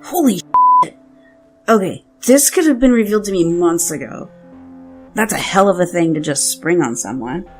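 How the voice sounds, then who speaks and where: Lively, headstrong young warrior man. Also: lowish voice, normal speed, soft background music. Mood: wry, startled. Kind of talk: audio drama acting.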